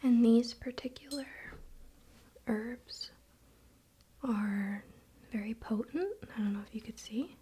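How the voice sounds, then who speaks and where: Slow whispering ASMR woman, noisy background. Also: fairly high pitch. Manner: soothing, relaxed, informal. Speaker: ASMR video host and spiritual practitioner. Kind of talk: soft-spoken whispered ASMR.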